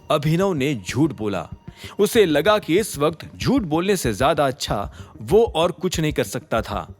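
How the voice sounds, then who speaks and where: A man narrating a scripted audio drama in an expressive, suspenseful storytelling manner. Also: a little high. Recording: music under the voice. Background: background music.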